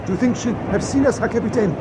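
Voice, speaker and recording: A man's voice: extremely high pitch, fast, heavy background noise. An actor playing a dutiful junior German U-boat officer in a radio drama, deferential and clipped in his reports. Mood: attentive.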